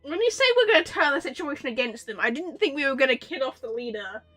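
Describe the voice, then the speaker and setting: A woman livestreamer giving casual, expressive, chatty reaction commentary on a game. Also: high-pitched, fast talker, faint music behind.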